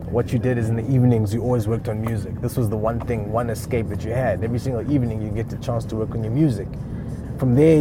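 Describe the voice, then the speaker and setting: A man's voice: fairly low pitch, noisy background. A man from Uganda, giving casual unscripted advice on music careers with lots of fillers and restarts. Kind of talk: casual spoken advice monologue.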